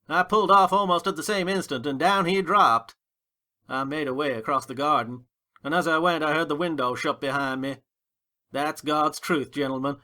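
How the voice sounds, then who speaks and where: A man's voice: a little high, bright, forceful tone. A man playing a hot-tempered, defiant American gangster in a dramatic audiobook reading, with slangy, emphatic delivery. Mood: intense, earnest.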